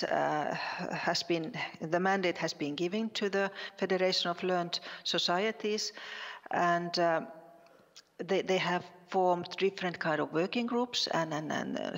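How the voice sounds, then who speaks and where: A woman from Finland, giving a conference presentation in a halting way with many fillers and restarts. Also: on the low side, fairly bright tone, big-room sound. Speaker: conference speaker.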